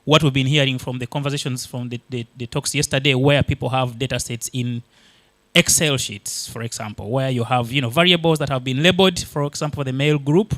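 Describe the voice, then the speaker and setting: A man's voice: mid-range voice, steady tone, brisk, fairly bright tone, no room sound. A man from Uganda whose first language is Luganda, giving a conference talk and explaining things step by step with many fillers and restarts. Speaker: conference presenter.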